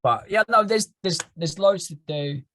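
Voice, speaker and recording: A young man with an English accent, chatting casually as a podcast guest, rambling and animated. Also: high-pitched, normal speed. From England. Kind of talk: casual chat.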